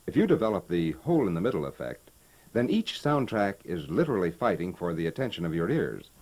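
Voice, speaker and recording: A folksy american stereo expert on an old 1960 demo tape, low voice that moves around a lot, explains patiently. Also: male voice, big pitch swings, darkish tone. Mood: instructive. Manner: instructive, folksy, measured. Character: knowledgeable, patient hi-fi expert, adult man. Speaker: presenter explaining stereo equipment. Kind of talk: scripted 1960 instructional tape, staged conversation. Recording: vintage 1960 promotional tape recording.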